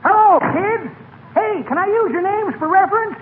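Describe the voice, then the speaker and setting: Eccentric old codger, very high voice, chipper, 1940s radio comedy, tinny recording. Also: male voice, normal speed, a little hiss or hum. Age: older.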